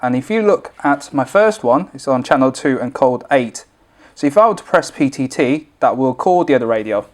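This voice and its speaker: A man's voice: medium pitch, animated, moderate pace, a little mellow. A man presenting an informal product tutorial, explaining each step in a plain, instructional way.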